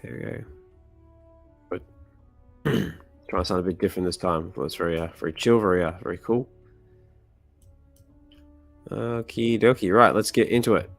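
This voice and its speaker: A man with a slightly hoarse voice hosting a comic reading stream, chatting casually and rambling with lots of fillers. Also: deep voice, normal speed, pauses often, soft background music. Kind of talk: casual chat.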